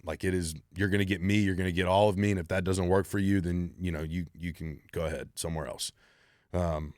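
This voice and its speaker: A very low-voiced man hosts a solo Q&A podcast. He talks in a blunt, driven way and swears freely. The sound is close and dry, with no room echo. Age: 30s. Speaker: podcast host.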